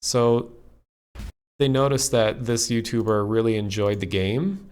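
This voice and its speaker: Male streamer, lowish clean voice, unscripted and halting, unhurried delivery. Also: a little mellow, smooth. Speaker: streamer, host. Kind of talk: unscripted live-stream commentary.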